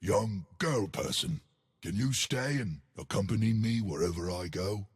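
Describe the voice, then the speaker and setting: An actor voicing a huge, friendly, blustery stone gargoyle in a video game, with boastful, simple wording and a gravelly voice. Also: male voice, lowish voice, expressive, normal speed. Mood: playful, curious. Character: huge friendly stone gargoyle colossus, blustery, dim, big-hearted protector. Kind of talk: video game character voice lines.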